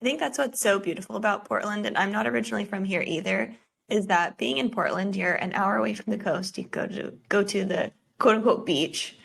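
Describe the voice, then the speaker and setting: A woman hosts a casual podcast in an upbeat, welcoming way. Her voice carries a noticeable gravelly fry. She keeps the talk conversational and easy. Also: mid-range voice, a little fast. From Florida. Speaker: podcast host.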